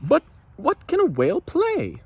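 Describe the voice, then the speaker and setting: A man's voice: very high-pitched, huge pitch swings, unhurried, no room sound, pitched above the performer's own voice, full character voice. A man voicing a firm, easily angered storybook leader with an emphatic, commanding manner. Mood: puzzled.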